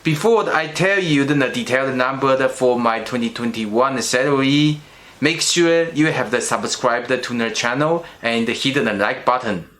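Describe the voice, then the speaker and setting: Slow-speaking middle-aged man, Chinese accent. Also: mid-range voice, a bit bright. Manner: slow and careful, with restarts on small words. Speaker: channel host. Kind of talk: personal vlog monologue.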